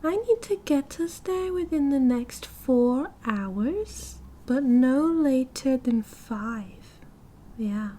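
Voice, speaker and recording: A woman's voice: sits high, lively intonation, slow pace, mellow, heavily acted. A British woman voicing a cheerful, possessive young yandere kidnapper in an ASMR roleplay, with a cutesy, doting manner. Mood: excited, doting. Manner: giggly, cutesy, doting, breezy. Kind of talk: ASMR roleplay, intimate one-sided conversation. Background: car driving sounds.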